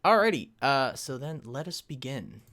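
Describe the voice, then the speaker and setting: A man's voice: medium pitch, very animated, normal speed, a bit bright. Rambling man hosting a streamed fan panel. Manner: loose and rambling, many fillers and restarts, checks the chat. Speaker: convention panel host. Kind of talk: casual live-streamed panel presentation.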